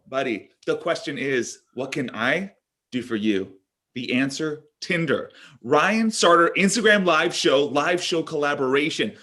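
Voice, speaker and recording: Man acting a bright, brash young tech executive. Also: on the high side. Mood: slick pitching. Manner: overblown salesman patter, dramatic exclamations. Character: brash, pushy male Tinder executive, thirty-three, gleefully crass. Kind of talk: comedy table read.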